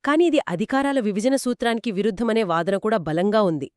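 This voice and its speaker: A woman's voice: medium pitch, brisk, dry recording, clean studio sound. A woman co-hosting a scripted explainer discussion, speaking in an assured, explanatory way. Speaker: explainer co-host. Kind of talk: scripted two-person legal explainer discussion.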